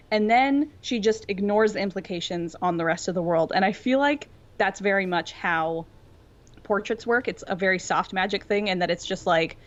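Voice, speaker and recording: A woman explaining things informally in a casual fan discussion, often saying "like" as she goes. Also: mid-range voice. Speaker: podcast co-host. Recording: dull lo-fi audio.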